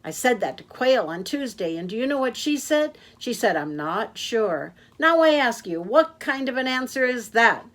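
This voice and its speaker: A woman voicing a chatty male yak taxi driver who rambles and complains without pause. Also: big pitch swings, a bit bright. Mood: chattering. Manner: rambling, complaining, fast and high.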